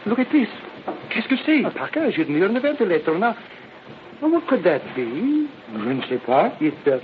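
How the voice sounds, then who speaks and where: A man's voice: sits high, sing-song, normal speed, phone-quality sound. A man acting a weary French seaman with a French accent, mixing French phrases into stilted English in a radio drama. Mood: grumbling, wistful. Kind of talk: old-time radio acting. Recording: thin, hissy old radio recording.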